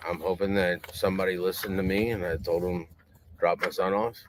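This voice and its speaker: A man's voice: sits low, fairly even intonation, brisk, low-quality recording. A tired man giving informal interview answers outdoors in a park. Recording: faint outdoor background noise.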